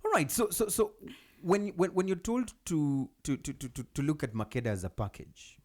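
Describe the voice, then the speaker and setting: A man's voice: fairly high pitch, moderate pace, darkish tone. A man hosting a radio interview in a studio, often stumbling and repeating words as he asks questions. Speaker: radio show host.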